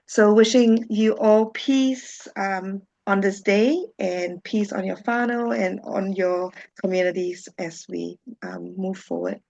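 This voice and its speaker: Woman from Singapore, webinar facilitator, warm and chatty, with a fry in her voice and muffled call audio. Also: medium pitch, fairly even intonation, takes it slowly, hardly any pauses. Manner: chatty and warm, with frequent ums and small laughs. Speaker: webinar facilitator, social worker. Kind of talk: friendly webinar presentation. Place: home. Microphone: video call. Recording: dull lo-fi video call audio.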